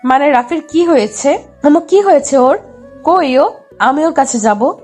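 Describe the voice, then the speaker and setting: Woman acting a high-voiced headstrong lovesick girl. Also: animated, unhurried, darkish tone. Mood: anxious, stubborn. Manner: insistent, childish pleading. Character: headstrong lovesick young woman, pouty injured daughter. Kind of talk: dramatised love story narration. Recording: music behind the voice.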